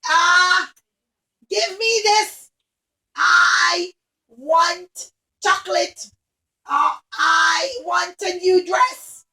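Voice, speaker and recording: A woman playing a shrill spoiled princess. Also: very slow, creaky, long pauses, far from the mic, heavily acted, poor audio. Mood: whiny. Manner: whiny, demanding, shouting. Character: spoiled shrieking young princess.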